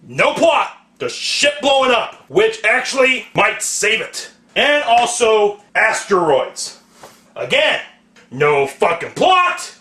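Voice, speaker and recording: Male ranter, very high-pitched and sharp, swearing and exasperated, roomy sound. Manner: ranting, sweary, emphatic, exasperated. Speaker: host of a video rant segment. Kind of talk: profane angry film rant. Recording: echoey room.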